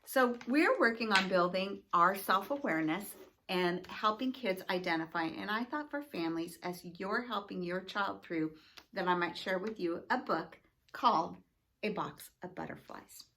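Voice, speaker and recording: A woman's voice: mid-range voice, normal speed, a bit bright, a little hiss or hum, rough recording. A woman educator explaining things to families and children in simple, encouraging, teacherly phrases. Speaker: school educator.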